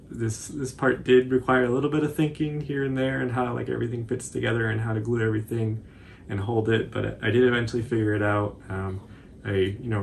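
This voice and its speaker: Young guy in his 20s explaining a craft project, kinda halting, gravelly voice, bit of background noise. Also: medium pitch. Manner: informal, hesitant, halting explanation. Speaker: cosplay tutorial presenter. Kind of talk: casual tutorial commentary.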